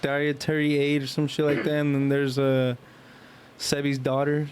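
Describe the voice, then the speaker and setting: A man's voice: on the high side, completely flat delivery, moderate pace, a little mellow, slight background noise. A man in a casual podcast chat, joking crudely in heavy slang. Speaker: podcast co-host.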